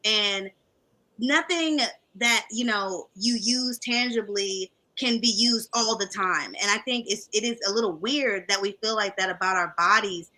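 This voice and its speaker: A woman's voice: mid-range voice, unhurried, pushed and bright, low-quality recording. A woman of about twenty-nine co-hosting a casual discussion, speaking in a supportive, agreeing way. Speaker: podcast co-host.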